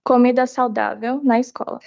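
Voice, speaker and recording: Soft, high-voiced teenage girl, native Portuguese speaker. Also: steady tone, brisk, creaky. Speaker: student giving testimony. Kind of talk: public testimony in short phrases for interpretation. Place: committee hearing. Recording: dull, muffled audio.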